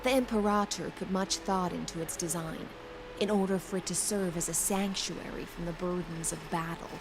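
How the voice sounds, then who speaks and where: Enigmatic game envoy played by a woman, lowish voice, courtly and measured, over clear music. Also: fairly even intonation, natural voice, poor audio. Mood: courteous, inviting. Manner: measured, deferential, formal. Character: courtly, enigmatic female envoy of an emperor, composed. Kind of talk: video game voice acting.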